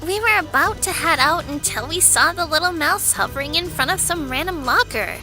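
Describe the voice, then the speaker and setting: A woman's voice: high-pitched, lively intonation, moderate pace, bright, forceful tone, soft background music, heavily acted. A woman voicing a haughty, sneering teenage schoolgirl bully in an anime-style audio story. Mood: uneasy.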